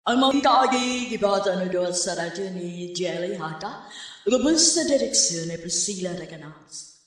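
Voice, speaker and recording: A woman's voice: lowish voice, lively intonation, measured pace. A woman with a Cebuano accent reading scripted narration as the host of a radio drama. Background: faint music.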